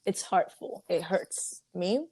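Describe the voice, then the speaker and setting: A woman's voice: on the low side, slow, husky, no echo. Young woman with a neutral accent, dry sound. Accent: mixed, neutral, slightly American. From Uganda. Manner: lively, laughs easily, candid. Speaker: podcast guest. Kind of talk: casual interview.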